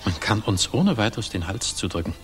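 A low male voice over faint music. a cautious captain of industry in a 1960s German radio drama, speaking formally and politely, measured in every question. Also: sing-song. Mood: earnest, guarded.

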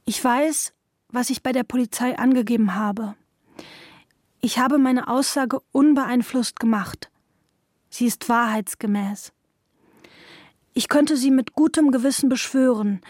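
A woman's voice: a little high, a little mellow, no room sound, clean studio sound. A woman playing a resolute young daughter giving formal testimony in a radio documentary.

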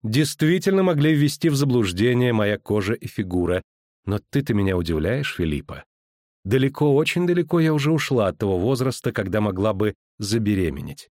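Man reading as a cool elf sorceress, measured and a little ironic, dry sound. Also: medium pitch, a little mellow, dry recording, natural voice. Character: poised ageless female elf sorceress, dry and assured.

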